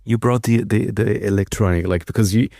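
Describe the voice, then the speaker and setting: This is a male interviewer with a lowish, mellow voice, chatting enthusiastically with musicians. He rambles and restarts his sentences. The sound is dry. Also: brisk, gravelly, dry recording. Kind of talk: casual interview.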